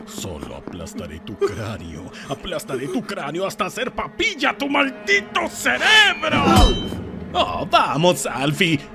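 Cartoon villain: manic, taunting clown, singsong, extremely swooping delivery, music behind. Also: male voice, high voice, wildly swooping pitch, a little slow, exaggerated voice. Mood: menacing glee. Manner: singsong menacing drawl with cackling swings. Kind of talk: animated parody villain acting.

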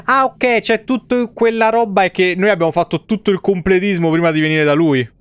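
Higher-pitched man streaming games. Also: fairly quick, clear, resonant tone, dry recording. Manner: informal, mild exclamations. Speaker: video game streamer. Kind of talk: casual live game commentary, reading game text aloud. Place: small indoor streaming setup.

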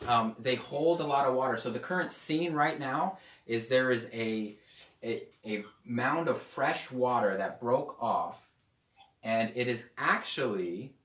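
A young man preaching a sermon at a whiteboard, lively and asking his audience questions. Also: medium pitch, far from the mic. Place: indoor room with whiteboard and podium. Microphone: far camera. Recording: roomy echo, voice away from the mic.

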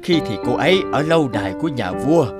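A man plays a kindly old male guardian spirit in a cartoon, gentle and fatherly. His voice rises and falls very freely. Loud guitar music plays under him. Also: mid-range voice, animated, fast, natural voice. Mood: reassuring. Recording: studio voice-over with loud music.

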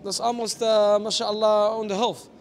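A man's voice: sits high, level tone, normal speed. A man in his thirties hosting an on-camera street interview in a shopping mall, mixing in religious phrases. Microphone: small handheld wireless mic.

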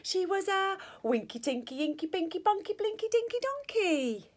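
A middle-aged woman reading a rhyming children's picture book aloud with an expressive, sing-song delivery. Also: extremely high pitch, moderate pace, slight background noise. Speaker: school staff storyteller. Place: small school office or staff room, indoors. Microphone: phone or tablet at arm's length.

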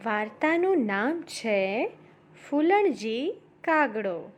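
A woman's voice: on the high side, very animated, slow pace. A woman narrating a story for children as a storyteller, addressing young listeners directly.

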